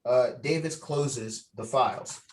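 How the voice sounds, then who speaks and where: A man's voice: mid-range voice, slow pace. A man leading a script read over a video call, talking casually and encouragingly and reading out scene directions. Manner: encouraging, informal. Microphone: video call microphone.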